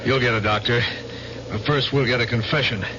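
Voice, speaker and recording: Guy acting a hard shifty american ex-soldier, mid pitch, noisy old radio play. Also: steady tone, fairly quick, muffled recording, natural voice. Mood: harsh accusing. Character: hard, shrewd, evasive American veteran hiding guilt.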